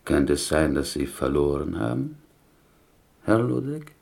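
Radio play: consoling cellmate, deep creaky male voice, gentle and deferential. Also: very animated, measured pace, heavily acted. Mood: cautious, teasing. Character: gentle, respectful, consoling fellow prisoner. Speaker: actor in a radio drama. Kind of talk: German radio play acting.

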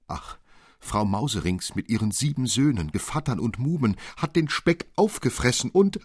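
A man's voice: mid-range voice, sing-song, moderate pace, husky, no room sound, clean studio sound, pitched above the performer's own voice. A man voicing a distraught, pleading queen in a storybook tale, lamenting with melodrama. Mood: wailing accusation.

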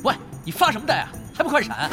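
A cool, confident veteran player in a game-world audio drama. His speech is quick, lively and casually teasing, with clear music behind it. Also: extremely high pitch, full character voice. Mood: urgent. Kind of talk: Chinese audio drama acting.

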